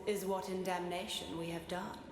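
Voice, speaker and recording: A woman's voice: lowish voice, fairly even intonation, moderate pace, natural voice. A woman playing an arrogant, vengeful fire goddess in a video game, speaking in a haughty, sardonic, theatrical way. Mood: contemptuous. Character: vengeful, arrogant fire goddess bound in a sword, mocking and bloodthirsty. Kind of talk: scripted video game character voice lines. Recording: roomy echo, clear background static noise.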